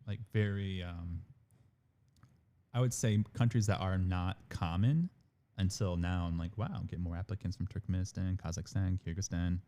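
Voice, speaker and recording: A man's voice: deep voice, steady tone, moderate pace, dark tone. A man being interviewed on a podcast about his admissions work, talking loosely with many fillers like "like" and "again.". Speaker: international admissions manager, guest.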